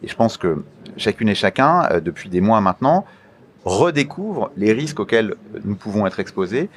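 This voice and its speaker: Male, French accent, lowish pitch. Institutional interviewee, deliberate, halting, explains carefully. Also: sing-song. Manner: formal, deliberate, hesitant phrasing with repeated words. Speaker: media regulator official being interviewed.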